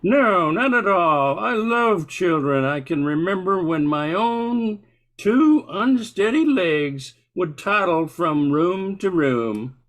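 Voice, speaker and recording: A sardonic old radio comedian as acted by a man, grumbling out florid insults and mock threats. The voice sits high for a man. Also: animated, slow, a bit bright, exaggerated voice.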